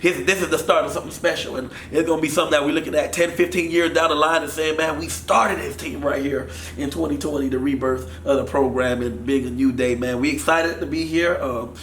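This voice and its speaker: A man in his thirties from Miami, a football coach talking in a casual interview, animated. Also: fairly high pitch, fairly quick, few pauses. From Miami, Florida. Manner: animated, gestures while talking. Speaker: football offensive coordinator. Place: studio set with sponsor backdrop. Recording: echoey room with faint noise.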